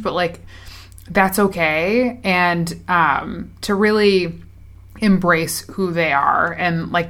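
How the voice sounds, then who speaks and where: A late-twenties woman with a slightly low voice chats casually on a podcast she co-hosts. She talks in loose, filler-heavy sentences and laughs often. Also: fairly bright tone. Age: 20s. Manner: chatty, lots of fillers and laughter. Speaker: podcast co-host. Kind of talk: casual chat.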